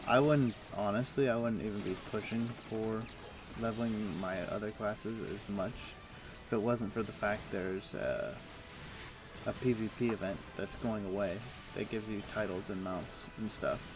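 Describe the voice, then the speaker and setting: Guy streaming a game, lowish voice, rambling explainer w/ lots of uhs, soft music in back. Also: normal speed, rough recording. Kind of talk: casual chat while playing.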